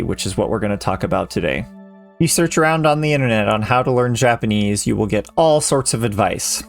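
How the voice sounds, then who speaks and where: A man in his thirties, a language teacher, reading a measured, earnest voice-over lesson. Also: on the low side, gravelly. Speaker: Japanese teacher. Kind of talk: scripted educational voice-over. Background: soft background music.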